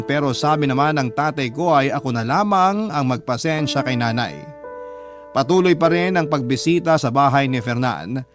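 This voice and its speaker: Filipino radio guy reading a dramatic letter, slightly high voice, clear music behind him. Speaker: radio host narrating listener stories. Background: background music.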